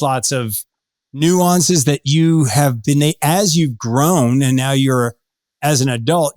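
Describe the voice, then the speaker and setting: A man's voice: on the high side, dry recording, clean studio sound. A male podcast host asking long, rambling questions with frequent restarts. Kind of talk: conversational interview.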